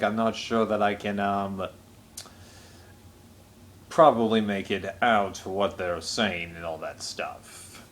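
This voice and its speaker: A man giving a casual, unscripted review as a reviewer, talking in a rambling, measured way. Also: lowish voice.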